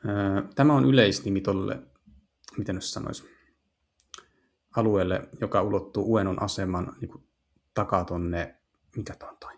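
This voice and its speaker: A man translating game dialogue casually and haltingly, searching for words as he goes. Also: fairly low pitch, steady tone. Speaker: gaming video host and live translator.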